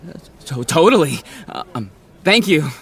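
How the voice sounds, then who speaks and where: An actor playing a shy, awkward high school boy in a teen drama, hesitant and stammering. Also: very animated, moderate pace, creaky, breathy edge, full character voice. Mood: nervous, grateful. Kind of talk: naturalistic teen drama dialogue.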